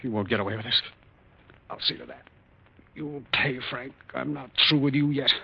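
A man's voice: mid-range voice, moderate pace, vocal fry, slight background noise, close to an everyday voice. A man acting in a 1940s radio drama as an embittered, vengeful prospector, menacing and melodramatic. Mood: dying, vengeful. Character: embittered prospector brother, vengeful, threatening as he dies. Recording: dull, lo-fi old radio recording.

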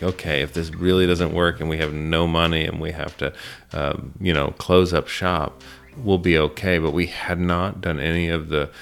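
A man's voice: deep voice, creaky, fairly bright tone, clean tone. A man being interviewed as a business owner, telling his story casually with wry, self-deprecating humour.

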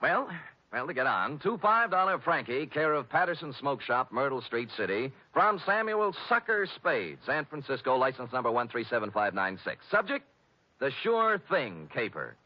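A man's voice: mid-range voice, big pitch swings, moderate pace. An actor playing a streetwise private detective in 1950s radio style, an American man with a wry, slangy, wisecracking manner. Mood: mock-dramatic. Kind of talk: 1950s radio detective acting, narration and dialogue. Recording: dull vintage radio recording, music behind.

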